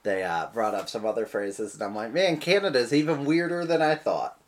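A man's voice: medium pitch, fairly quick. A man with an American accent co-hosting a podcast, chatting casually and jokily about sports in a wry, bantering way. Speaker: podcast co-host. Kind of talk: casual joking sports chat.

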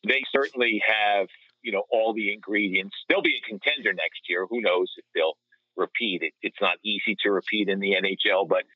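A man's voice: fairly low pitch, brisk, dry recording. A man giving casual sports analysis as a podcast panelist, over a dull remote line.

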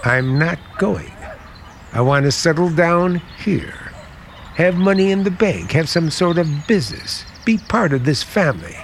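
An actor playing a charming middle-aged American uncle with quiet menace under a genial, deliberate manner, in vintage radio drama style. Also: male voice, on the high side, very animated, slight background noise. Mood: coaxing, menacing.

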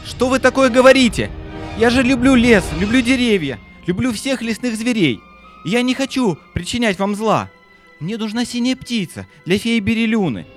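A man's voice: very high-pitched, lively intonation, moderate pace. A man playing a brave, earnest young boy in an audio play, speaking emphatically over music. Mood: pleading, earnest.

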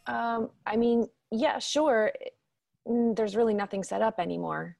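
Radio drama mother, played by a woman: creaky voice, gentle and concerned, recorded dry. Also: fairly high pitch, a little slow, no echo. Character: caring, perceptive mother of a grown daughter.

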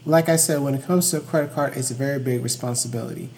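A man's voice: mid-range voice, fast, creaky, darkish tone, a little hiss or hum. A young adult man hosting an informal educational solo podcast, emphatic and repetitive as he stresses his key points. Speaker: podcast host. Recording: long hollow room reverb.

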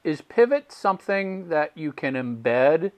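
A man's voice: a little high, sing-song, takes it slowly, no room sound. A man hosting a podcast interview, talking eagerly and often repeating his first words in casual chat. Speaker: podcast host.

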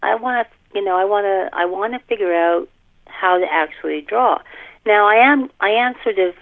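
An older woman, a guest author chatting casually in a podcast interview, animated and self-deprecating, repeating words for emphasis. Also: mid-range voice, normal speed. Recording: thin band-limited phone line.

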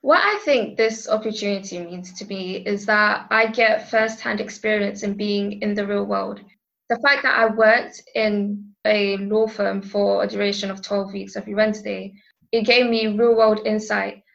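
Earnest teenage schoolgirl, gravelly edge. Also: mid-range voice, fairly even intonation, normal speed, a bit bright, lo-fi sound. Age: teens. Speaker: school pupil. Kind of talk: recorded testimonial.

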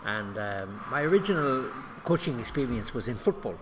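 An older man with an Irish Cork accent, an athletics coach recalling his start in an interview, measured and reflective. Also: mid-range voice, very animated, muffled recording, background noise. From Ireland. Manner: measured, reflective, with many fillers. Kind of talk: interview storytelling.

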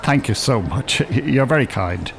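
A man's voice: mid-range voice, lively intonation, measured pace, gravelly. An actor playing a bookish, irritable older man in a radio drama, articulate, polite and drily sarcastic. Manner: polite, dry, exasperated, articulate. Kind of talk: naturalistic radio drama acting. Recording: urban ambience, faint background noise. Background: shopping centre ambience.

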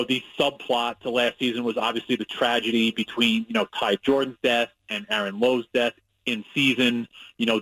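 New York-accented sportswriter on the radio. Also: male voice, medium pitch, a bit bright, no echo, poor audio. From Long Island, New York. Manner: conversational, with many fillers and restarts, analytical. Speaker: sportswriter guest. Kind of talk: radio interview.

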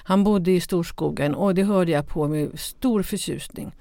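A woman's voice: fairly low pitch, warm tone, dry recording, clean studio sound. A woman chatting on a podcast as an academic co-host. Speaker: theatre professor, critic, co-host. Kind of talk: conversational podcast talk.

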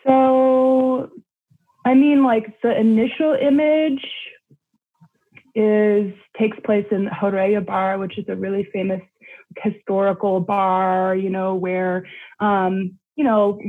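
A young adult poet with a husky, gravelly voice reads a poem and then talks it over in a loose, rambling way full of fillers. The sound comes through a thin, phone-like line. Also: medium pitch, level tone, takes it slowly. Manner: rambling, heavy with fillers in chat. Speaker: poet and guest. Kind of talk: poetry reading, then casual chat.

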